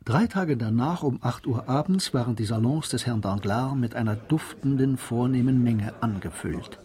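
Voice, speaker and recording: Husky male radio drama narrator. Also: medium pitch, moderate pace, darkish tone.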